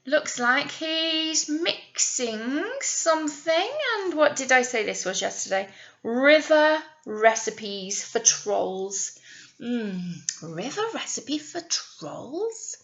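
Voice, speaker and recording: Animated woman reading to young children. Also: high voice, muffled recording. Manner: exaggerated, drawn-out words with questions to the listeners. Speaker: teacher reading a picture story to young children. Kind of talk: animated read-aloud storytelling with asides to children.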